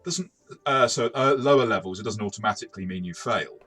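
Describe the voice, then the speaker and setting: A man talking casually through a game review in a measured, explanatory way. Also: lowish voice, a bit bright, faint music behind. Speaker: tabletop game reviewer and game master.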